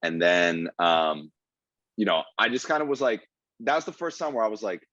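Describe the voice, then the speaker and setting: A young man from Ohio with a very low voice talks earnestly about his path, padding his sentences with fillers. The sound is dry and a little dull, like a close podcast mic with the top end rolled off. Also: big pitch swings, moderate pace, fairly bright tone, dry recording. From Ohio, USA. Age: 20s. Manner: earnest storytelling with many fillers. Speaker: guest comedian. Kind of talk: casual podcast interview.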